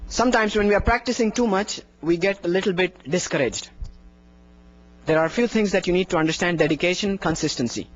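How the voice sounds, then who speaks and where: A man's voice: sits high, brisk. A man teaching how to make the call to prayer, earnest and encouraging, explaining posture and breathing and demonstrating sounds. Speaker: adhan teacher. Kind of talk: instructional lesson with slides. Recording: dull lo-fi recording.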